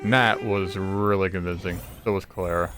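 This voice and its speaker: A man's voice: low voice, fairly even intonation, normal speed. A man giving casual, blunt, sweary reaction commentary while playing a video game. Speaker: game streamer. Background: game audio and music.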